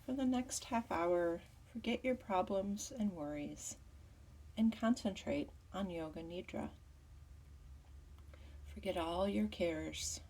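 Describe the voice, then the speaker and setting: Soft, husky woman guiding meditation, slightly distant. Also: fairly low pitch, lively intonation. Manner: soothing, measured instruction. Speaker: yoga nidra teacher. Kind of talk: guided meditation.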